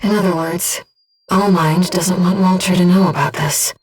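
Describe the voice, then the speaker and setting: A woman playing a calm, devoted disembodied companion who guides a pilot in a video game, speaking in a measured, earnest, gently formal way. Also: fairly low pitch, breathy edge, far from the mic, poor audio. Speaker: mission support companion. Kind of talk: scripted video game voice acting.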